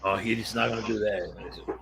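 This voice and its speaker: A man hosting a casual livestream, raspy and coughing, hyping up chat with sing-song shout-outs. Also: on the low side, fairly even intonation, unhurried. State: coughing fits. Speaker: host. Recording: loud hip hop music behind. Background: hip hop music.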